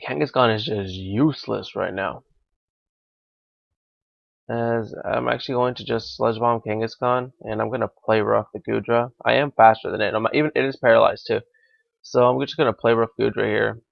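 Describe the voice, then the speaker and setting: A young man with a raspy, gravelly voice that is a little hoarse from being sick. He thinks out loud through a competitive match with plenty of ums and uhs. The sound is dull and lo-fi, with almost no room around it. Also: on the low side, fairly even intonation, normal speed, no echo. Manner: thinking aloud, with frequent fillers. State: slightly hoarse from illness. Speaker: gaming commentator. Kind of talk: live competitive game commentary.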